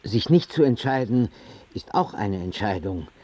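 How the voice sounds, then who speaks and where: A husky, mellow-toned artist father in a radio drama. He is sardonic and anguished, with swooping intonation and pointed remarks, recorded very dry. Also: lowish voice, lively intonation, a little slow, no room sound. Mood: reproachful.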